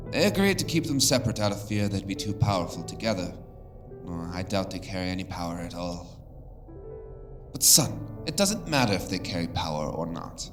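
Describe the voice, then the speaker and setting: An actor playing a stern, cynical older king and father in an audio drama, lecturing with wry authority. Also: deep voice.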